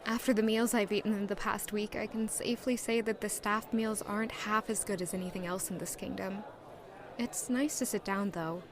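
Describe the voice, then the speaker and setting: An actress playing an earnest young maid who narrates her own story, polite and soft-spoken. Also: medium pitch, steady tone, moderate pace, crowd noise, close to an everyday voice. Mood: reflective. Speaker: maid and first-person narrator. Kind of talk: audio drama acting and narration.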